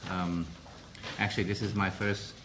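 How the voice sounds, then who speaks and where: A man giving a formal press briefing as president of a council, speaking carefully with many pauses and restarts. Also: deep voice, little pitch movement, noisy background.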